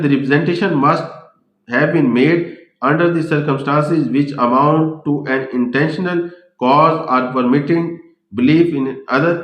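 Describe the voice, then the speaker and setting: Slow-paced Pakistani male law lecturer, echoey room. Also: fairly high pitch. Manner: mixes English legal terms with explanation in his own language. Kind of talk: instructional lecture, reading legal text aloud and explaining it.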